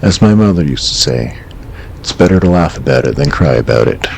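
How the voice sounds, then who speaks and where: A man playing a deep, gravelly late-night radio host. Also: animated, a little hiss or hum, exaggerated voice. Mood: reflective. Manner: measured, reflective, understated. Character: calm, wry male host of an eerie late-night call-in show.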